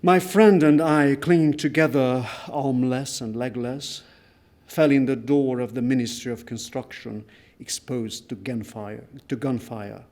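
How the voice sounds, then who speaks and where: Slow, solemn man reading dramatically. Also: mid-range voice, fairly even intonation, a little mellow. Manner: solemn, deliberate, with emphatic pauses and repetitions. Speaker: reader at a book launch. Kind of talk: dramatic literary reading of a first-person memoir.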